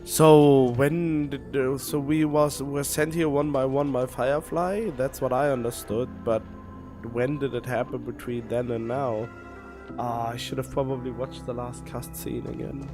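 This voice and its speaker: A man's voice: mid-range voice, fairly even intonation, measured pace, music in the background. A man giving casual live gameplay commentary as a streamer, musing aloud in a puzzled way. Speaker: game streamer.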